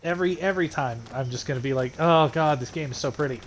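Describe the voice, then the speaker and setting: Male streamer, casual game chat, profane, laughing, slightly high voice, faint noise. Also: quick pace. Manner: chatty, profane, laughs often. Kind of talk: casual gameplay commentary.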